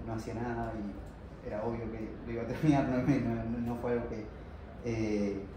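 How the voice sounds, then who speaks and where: A man in his early twenties with a Buenos Aires accent, talking casually and haltingly in a group discussion. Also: medium pitch. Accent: Argentine, Buenos Aires area. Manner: halting, repeats words while searching. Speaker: focus group participant. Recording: echoey noisy room, far from mic.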